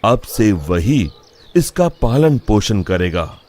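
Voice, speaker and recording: A man's voice: lowish voice, big pitch swings, slow pace, background noise, pitched below the performer's own voice. A man voicing a stubborn village father who dotes on his son, in an insistent, explaining manner for a children's story.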